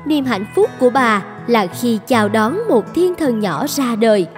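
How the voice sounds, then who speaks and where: A woman narrates a cartoon tale in a fairly high voice. Her scripted storybook delivery rings with long hall reverb, with music playing underneath. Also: animated, measured pace.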